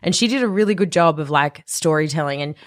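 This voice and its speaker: A woman's voice: low-pitched, expressive, quick pace. A young woman, a business founder talking casually in a podcast interview, chatty and full of restarts.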